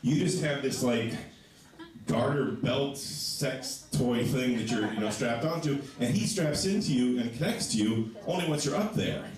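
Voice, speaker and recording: A man with a low voice doing a stand-up comedy set in a small comedy club, telling stories with lively, animated delivery. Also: moderate pace. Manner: animated storytelling, voices for others. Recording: echoey club sound from a distant mic.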